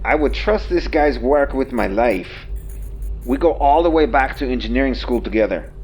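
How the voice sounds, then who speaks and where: A nervy, theatrical starship engineer, acted by a man in a sci-fi audio drama. His mid-pitched voice swings expressively over faint music. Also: lively intonation, moderate pace, darkish tone, natural voice. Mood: reassuring. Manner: theatrical, sprinkles in Spanish exclamations.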